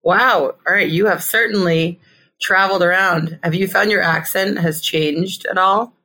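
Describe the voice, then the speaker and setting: A woman hosting a podcast, with a notably low-pitched voice. She is chatty and playful, keeping the interview light. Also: fairly even intonation, measured pace, sharp tone. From Connecticut. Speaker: podcast host.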